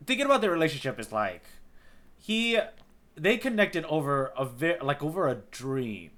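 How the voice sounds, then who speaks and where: A man's voice: on the high side, sing-song, normal speed, a bit bright. A man co-hosting a podcast in casual conversation, thinking aloud in a rambling way and often saying "like". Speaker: podcast co-host. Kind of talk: casual chat recapping a manga arc.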